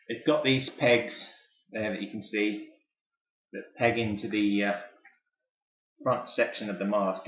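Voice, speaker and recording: A man's voice: fairly low pitch, fairly bright tone. A British man giving a casual, unscripted product review as host, chatty and informal like an enthusiastic fan. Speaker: toy reviewer and video host. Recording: echoey room, slightly dull sound.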